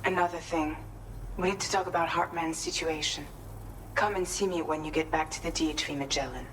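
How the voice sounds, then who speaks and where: A woman's voice: sits low, fairly even intonation, fairly quick, natural voice. An actress playing a caring, businesslike woman with a firm, matter-of-fact manner in a video game. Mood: concerned, businesslike. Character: businesslike, caring woman giving medical advice. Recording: distant voice over noisy ambience.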